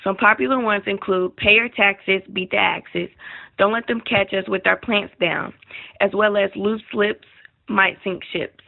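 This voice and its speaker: Creaky woman on phone-like audio. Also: mid-range voice, steady tone, unhurried. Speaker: narrator. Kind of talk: scripted documentary narration. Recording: muffled, phone-like narrow band.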